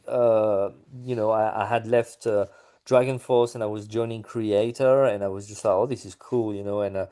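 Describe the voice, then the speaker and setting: A man's voice: mid-range voice, dark tone. A man being interviewed about his music, talking casually with many fillers and restarts.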